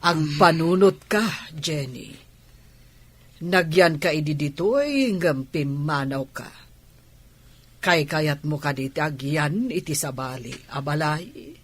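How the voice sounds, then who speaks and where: A woman playing a firm, caring middle-aged aunt in a radio drama, husky and persuasive. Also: low voice, dry recording, exaggerated voice. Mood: persuasive, concerned. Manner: deliberate, persuasive.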